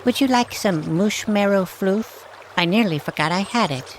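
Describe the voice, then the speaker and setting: A woman playing a calm, practical young maiden companion in a fantasy audio drama, speaking matter-of-factly. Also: medium pitch, moderate pace, slight background noise, lightly acted, poor audio. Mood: friendly, casual.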